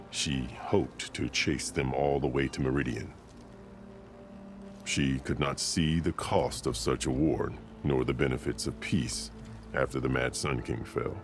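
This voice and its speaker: Very deep-voiced, solemn warrior chief. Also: male voice. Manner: formal, solemn, measured storytelling. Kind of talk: dramatic video game dialogue. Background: music.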